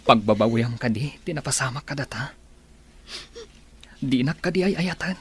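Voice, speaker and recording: A man's voice: medium pitch, expressive, moderate pace, slight background noise, natural voice. An actor with an Ilocano accent playing a secretive, evasive young businessman, earnest and placating, in a radio drama. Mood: firm, reassuring.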